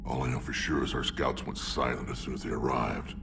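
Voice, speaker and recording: An actor playing a gruff, battle-hardened alien warlord in a video game, proud and wry, with blunt delivery and a growling voice. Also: male voice, fairly even intonation, brisk, recorded from a distance, noisy background. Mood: grim, urgent. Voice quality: deep gravelly growl. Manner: blunt, sardonic, weighty delivery. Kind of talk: video game character acting.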